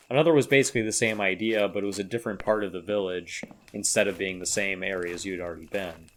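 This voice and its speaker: A man giving recorded developer commentary, explaining calmly how a game was designed. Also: fairly low pitch, slight background noise. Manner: measured, explanatory.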